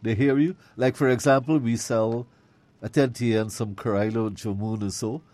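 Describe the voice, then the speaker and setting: A man with a Guyanese accent and a hoarse voice, hosting a call-in radio show and chatting earnestly with a caller. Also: mid-range voice, steady tone, moderate pace, darkish tone, no room sound. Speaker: radio host. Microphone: studio microphone.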